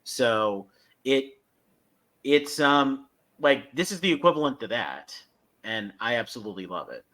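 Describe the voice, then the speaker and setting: A man's voice: medium pitch, very animated, a little slow, fairly bright tone. A man hosting a podcast, giving casual review commentary and recapping step by step in a hesitant way. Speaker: podcast host.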